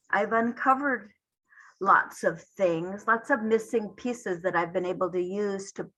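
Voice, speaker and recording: An older woman speaking as a panelist, reflecting in a halting way with many pauses. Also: lowish voice, fairly bright tone, rough recording.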